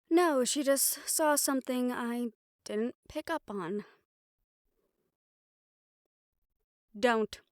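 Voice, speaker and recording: A playful, caring siren-banshee, acted by a woman for an intimate audio roleplay. Her voice sits a little high and she takes her time, teasing her partner with open affection. The recording is close and dry, with hardly any room sound. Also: no echo. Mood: mildly annoyed, wry.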